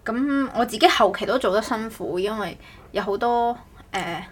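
A woman with a Cantonese accent hosting a casual podcast chat, speaking haltingly and often correcting herself. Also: on the high side. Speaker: podcast host. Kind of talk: casual chat about making an audio show.

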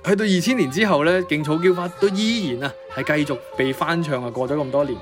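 A man's voice: fairly high pitch, quick pace. A young man co-hosting a music-history show, presenting conversationally from prepared notes. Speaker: co-host of music history podcast.

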